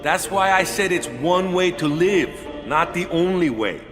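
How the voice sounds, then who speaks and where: A man voicing a blunt, easygoing island caretaker who ends lines with "eh?", heard over loud background music in a game audio drama. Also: high voice, lively intonation, a little slow. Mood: casual. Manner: laid-back, ends lines with "eh?" and "yeah?". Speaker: caretaker and former guardian.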